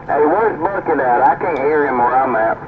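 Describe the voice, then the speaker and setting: A man's voice: medium pitch, expressive, normal speed. A man with a Southern American accent, talking in a folksy, colloquial way as he chats over CB radio. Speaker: CB radio operator. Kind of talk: casual chat over CB radio. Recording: tinny CB radio, loud static.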